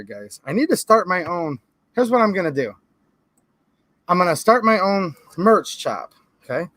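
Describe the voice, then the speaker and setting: A man's voice: high voice, a bit bright, dry recording. A man with a Michigan accent hosting a firearms channel, talking casually to camera in a chatty, sarcastic way and often calling his viewers "guys.". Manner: holds items up to the camera while talking.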